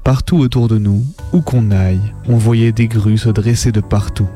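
A man's voice: lowish voice, steady tone, moderate pace, dark tone, faint music behind. A man from the suburbs of Paris speaking on a radio show, presenting and narrating first-person audio fiction. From France, Paris suburbs.

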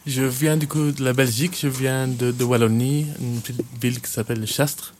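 A man's voice: medium pitch, fairly even intonation, moderate pace, a little mellow, slight background noise. A man in his twenties who grew up in Kenya and works as a youth worker, answering interview questions in a hesitant way, with frequent fillers and restarts. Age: 20s.

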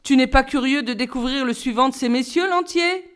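A woman voicing a cheeky, excitable schoolboy who chatters in slangy bursts. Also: high voice, a little slow, voice pushed up. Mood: eager.

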